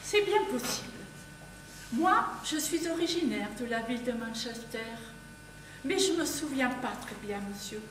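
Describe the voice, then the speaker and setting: A woman's voice: high-pitched, lively intonation, unhurried, a bit bright. A woman playing a polite, vague English lady from Manchester in an amateur stage play, formal and wondering. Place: theatre stage before an audience. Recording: distant, echoey hall with audible noise.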